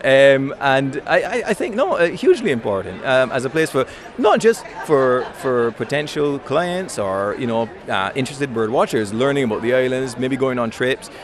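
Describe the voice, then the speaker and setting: A man speaking casually as an interview guest, halting as he searches for words. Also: mid-range voice, very animated.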